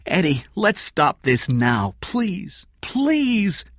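A desperate young woman pleading urgently, her voice pitched high and straining with each plea, as a man reading the story puts her on. The sound is dry and close. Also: sing-song, very slow, dry recording, heavily acted. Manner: begging, urgent.

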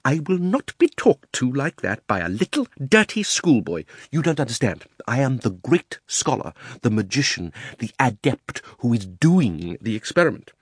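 A cruel, pompous old uncle from a children's storybook, a gentleman magician. His delivery is extremely animated, soaring with haughty indignation and sinking into condescension. The sound is dry and close. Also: medium pitch, normal speed, few pauses, slightly rough, no echo, voice pushed up. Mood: outraged, grandiose. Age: older. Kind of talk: dramatised storybook reading.

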